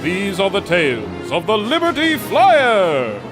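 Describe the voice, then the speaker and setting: An actor playing a grand, dramatic narrator of an old-time radio adventure serial. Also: male voice, high voice, huge pitch swings, slow pace, music in the background, full character voice. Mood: rousing.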